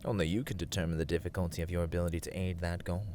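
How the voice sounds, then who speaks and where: A man playing an arrogant, teasing villain warlord who craves control, in a theatrical ASMR roleplay, with breathy, mocking, drawn-out delivery. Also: deep voice, little pitch movement, a little mellow, slight background noise, close to an everyday voice. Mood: smug persuasion. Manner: haughty, languid, mocking, drawn-out words.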